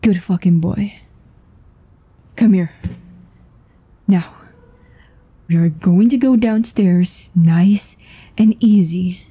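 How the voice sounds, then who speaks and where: Lady acting out bossy sweary woman in an audio drama, slow talking, soft mellow tone, urgent. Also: on the low side, exaggerated voice. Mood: cold, threatening. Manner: swearing, urgent, breathy sighs and stammers. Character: tense, foul-mouthed, commanding woman with a secret. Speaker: voice actor in an audio roleplay. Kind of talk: dramatic ASMR roleplay acting.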